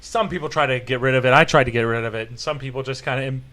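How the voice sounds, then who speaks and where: A man's voice: medium pitch, racing, pushed and bright. A man from Florida hosting a casual podcast, telling rambling stories with many fillers and frequent laughter. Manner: rambling storyteller, laughs often, filler-heavy. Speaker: podcast host. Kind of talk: casual chat.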